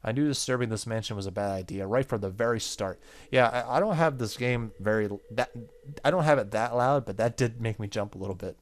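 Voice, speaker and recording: Low-voiced man reading game dialogue aloud. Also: brisk. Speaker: gaming video host.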